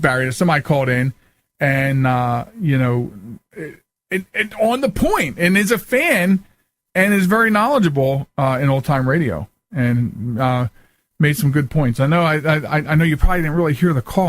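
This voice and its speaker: A man hosting a casual live call-in radio show, talking haltingly and often repeating his words. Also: a little high, animated, no room sound.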